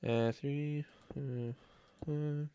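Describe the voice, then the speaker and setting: A man's voice: mid-range voice, fairly even intonation, very slow, mellow, smooth, no room sound. A man with a slight cold, chatting casually and rambling as he plays a building game. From Australia. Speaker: let's play host. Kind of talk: casual gameplay commentary.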